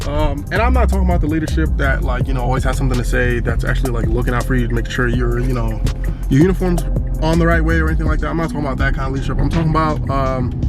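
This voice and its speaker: Raspy, congested young man in a car. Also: mid-range voice. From South Florida. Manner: loose and chatty, lots of "like" and "um". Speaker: vlogger, military member. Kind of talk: casual vlog while driving. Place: inside a car. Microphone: camera mic.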